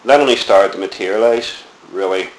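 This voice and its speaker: A middle-aged Belfast man with a very low voice tells of his childhood in a slow, reflective, rambling way, full of ums and restarts. He is recorded in an echoey room with a faint hiss behind him. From Northern Ireland. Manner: rambling, reflective storytelling with many fillers. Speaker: interviewee. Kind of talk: oral history interview.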